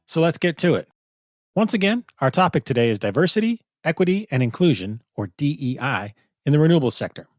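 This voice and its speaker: A man's voice: on the high side, big pitch swings, a little fast, dry recording, soft background music. A man hosting a podcast interview, reading a scripted intro and then asking questions conversationally. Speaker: podcast host.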